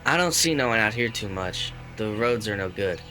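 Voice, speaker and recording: A man's voice: lowish voice, expressive, normal speed, music in the background, lightly acted, low-quality recording. An actor playing a guarded young rural man with terse, folksy speech in an audio drama. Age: young adult.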